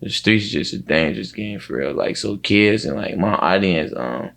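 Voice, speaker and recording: A man talking casually as an interview guest, slangy and reflective, often halting and leaning on filler words. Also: sits low, animated, gravelly, fairly bright tone.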